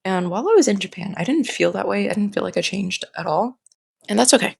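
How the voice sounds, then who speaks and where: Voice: medium pitch, expressive, fast talker, gravelly, slightly rough, dry recording, studio quality. A young adult vlogger with a slightly nasal voice, rambling candidly and informally and often repeating and restarting phrases. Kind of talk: casual rambling personal vlog.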